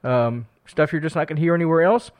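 A man with a slightly higher voice and a smooth, resonant tone hosts a local radio music hour. He talks in a chatty, upbeat way, stumbling over a few words. He is fighting a cold, speaking into a studio broadcast mic. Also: fast. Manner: upbeat, chatty, self-deprecating, with fillers and restarts. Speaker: radio host. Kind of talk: community radio show hosting. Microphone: studio broadcast microphone.

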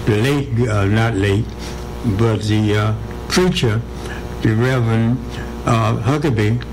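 A man speaking as a guest on a radio interview, halting and repeating himself, with many fillers. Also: on the low side, background noise.

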